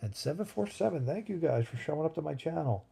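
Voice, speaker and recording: A man's voice: fairly high pitch, animated, fast, vocal fry, dark tone, breathy edge. A man in his fifties hosting a live stream, chatting casually and rambling as he answers viewers' comments. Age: 50s. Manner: rambling, thinks aloud, answers chat comments. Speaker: livestream host.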